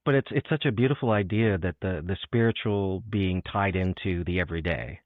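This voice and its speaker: Male poetry host, very low voice, thin phone-like audio. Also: fairly quick. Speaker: interviewer and host. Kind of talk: literary chat and poetry reading. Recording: echoey, band-limited phone-like sound.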